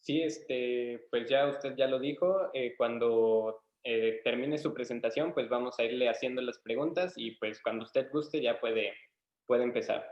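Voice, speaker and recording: A man's voice: mid-range voice, a little slow, darkish tone. A young man moderating a webinar, speaking hesitantly and with formal politeness over a video call. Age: young adult. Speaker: student moderator. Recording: echoey, muffled video call audio.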